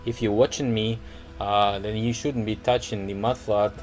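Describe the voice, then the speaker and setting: A man with a Russian accent talking through a casual walking vlog outdoors on a city street, rambling and halting with many restarts. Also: fairly low pitch, flat delivery, darkish tone, soft background music. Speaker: vlogger.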